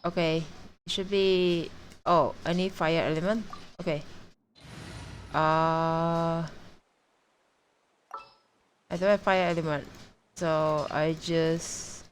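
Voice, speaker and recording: A woman's voice: low-pitched, fairly even intonation, takes it slowly, long pauses, slight background noise. A woman doing casual livestream gameplay commentary, talking to herself in short reactions. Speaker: livestreamer playing a video game. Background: game music.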